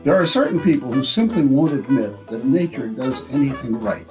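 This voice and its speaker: A man's voice: mid-range voice, lively intonation, moderate pace, over music. A man announcing in old-time radio style, with polished, salesmanlike phrasing.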